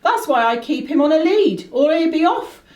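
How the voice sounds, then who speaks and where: A woman's voice: sits high, lively intonation, normal speed, darkish tone. An actress playing a chatty, deferential country inn landlady in a radio play, folksy and emphatic. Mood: obliging, chatty. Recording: roomy, echoing radio play recording.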